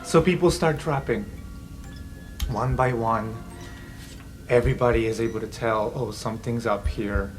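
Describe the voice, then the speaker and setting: A man's voice: medium pitch, fairly even intonation, measured pace, pauses often, soft background music. A man running a tabletop game, narrating the scene casually with plenty of fillers. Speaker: game master.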